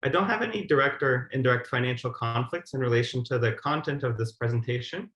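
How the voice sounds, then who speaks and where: Male presenter, thirties, measured and formal explainer, mid-range voice, reverberant video-call sound. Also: mid-range voice, lively intonation, a bit bright. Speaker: guideline methodologist, webinar presenter. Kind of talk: prepared webinar presentation. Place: indoor room on a video call. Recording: roomy, echoing webcam audio.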